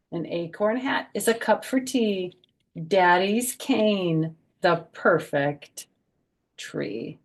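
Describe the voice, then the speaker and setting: Older female storyteller for children's picture-book read-aloud, slightly low voice, sing-song on the text, soft and chatty between lines. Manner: expressive, sing-song reading with soft, gentle asides. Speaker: storyteller, children's author and former schoolteacher.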